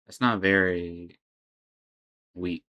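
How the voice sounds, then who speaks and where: A man with a low voice hosts a reaction video. He talks in a casual, unscripted way, commenting on what he is watching. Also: steady tone, drawn-out words, pushed and bright, highs cut off.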